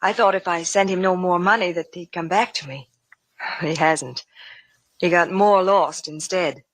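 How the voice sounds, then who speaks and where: An actress playing an earnest, anxious wealthy wife in a 1950s radio drama. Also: female voice, lowish voice, unhurried, dry recording. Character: rich, pleading, earnest wife, refined and anxious.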